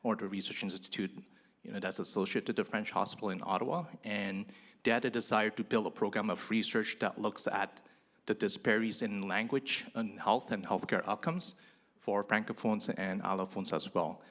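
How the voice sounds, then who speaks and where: A man's voice: lowish voice, fairly even intonation, moderate pace, a bit bright. Chatty Indonesian-born man giving a talk. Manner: chatty and self-deprecating, many fillers. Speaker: conference speaker, physician researcher. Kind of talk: conference talk. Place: conference hall.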